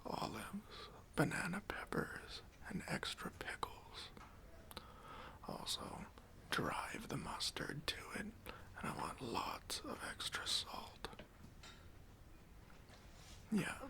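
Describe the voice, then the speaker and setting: A man playing a self-important, demanding boss at a job interview in a whispered ASMR roleplay, talking in a condescending, halting way. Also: on the high side, gravelly, pushed and bright, full character voice. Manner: pompous, condescending, halting with drawn-out pauses.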